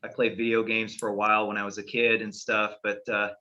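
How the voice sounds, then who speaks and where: A man's voice: lowish voice, monotone, brisk, pushed and bright, highs cut off. A middle-aged man from California, a guest astrologer, talking casually and meandering with fillers and restarts on a podcast.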